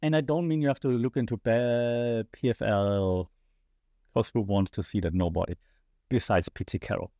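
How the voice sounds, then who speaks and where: A man's voice: lowish voice, steady tone, moderate pace, mellow, no echo. German guy ranting on his podcast, fired up, asks the listener stuff, voice a bit worn, dead dry sound. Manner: passionate, rhetorical questions to the audience. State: slightly losing his voice. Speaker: podcast host. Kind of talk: opinionated solo commentary.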